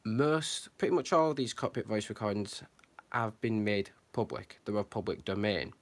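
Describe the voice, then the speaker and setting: Man, lowish voice, hesitant, lots of ums and restarts, earnest concerned commentary. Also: normal speed. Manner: hesitant, many fillers and restarts. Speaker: channel host. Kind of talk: unscripted commentary to camera.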